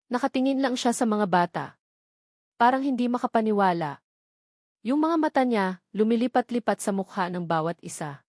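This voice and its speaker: A woman's voice: medium pitch, darkish tone. A woman narrates a scripted love story in the first person. Her delivery is that of a dramatic storyteller. The sound is very dry, with little room echo.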